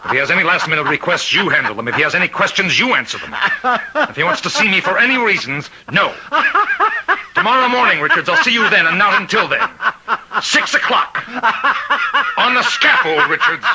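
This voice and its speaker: An actor playing a troubled prison warden in a radio mystery, agitated and halting in manner. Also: male voice, sits high, very animated. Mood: angry, commanding. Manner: agitated, halting, repeats himself. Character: troubled male prison warden, rattled and commanding. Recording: faintly noisy old radio recording.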